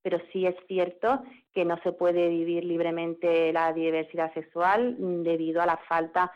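A woman's voice: lowish voice, level tone, moderate pace, highs cut off. A woman lawyer who is a guest on a radio show, explaining at length and often restarting her phrases. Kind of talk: radio interview.

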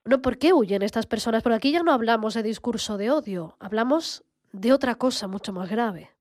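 A woman hosting a radio show who introduces topics and asks her guest questions in a composed, clear way. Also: fairly high pitch, lively intonation, brisk, a little mellow, no echo, studio quality. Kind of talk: radio interview.

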